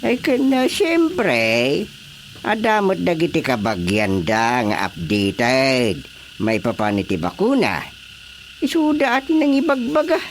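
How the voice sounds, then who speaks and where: A man's voice: fairly high pitch, huge pitch swings, takes it slowly, a little hiss or hum, full character voice. Swooping, stubborn, fearful elder, a man acting. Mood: stubborn, agitated. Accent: Filipino. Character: fearful, stubborn elder parent distrusting news. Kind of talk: radio drama acting.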